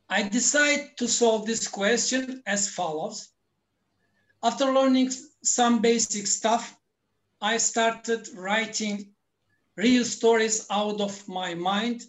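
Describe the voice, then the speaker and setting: A man, a language teacher speaking deliberate non-native English as he explains his views as an interview guest. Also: sits high, rough recording.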